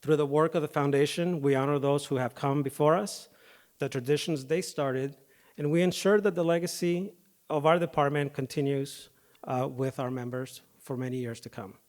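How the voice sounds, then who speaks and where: A man, a firefighter paramedic, giving a prepared speech at an awards ceremony podium, his voice a little off from recent dental work, starting with fillers and then settling into steady reading. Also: a little high, normal speed. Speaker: firefighter paramedic and foundation president. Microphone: podium microphone.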